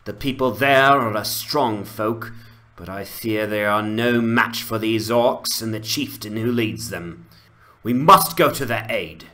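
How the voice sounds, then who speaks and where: A man voicing a grave, courteous ranger leader with a formal, measured delivery in a fantasy game dramatization. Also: fairly low pitch, sharp tone. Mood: grave, urgent.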